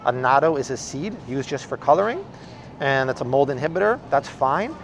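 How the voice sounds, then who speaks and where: A man's voice: mid-range voice, a little hiss or hum. Upbeat man talking through a face mask. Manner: enthusiastic, punchy, addresses viewers as fam. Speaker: food vlogger. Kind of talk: casual grocery haul commentary. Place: large supermarket aisle. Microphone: handheld camera close up, wearing face mask.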